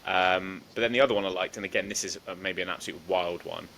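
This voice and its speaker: Low-voiced man talking racing picks. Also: quick pace, gravelly, a little hiss or hum, rough recording. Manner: relaxed, rambling, lots of ums. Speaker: podcast co-host. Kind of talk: casual horse racing tipping chat.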